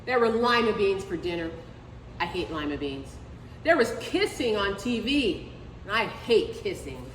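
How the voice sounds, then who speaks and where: A woman reading a picture book aloud to children as a storytime librarian, expressively, with drawn-out emphasis on key words. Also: medium pitch, sing-song. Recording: echoey reverberant room with faint noise.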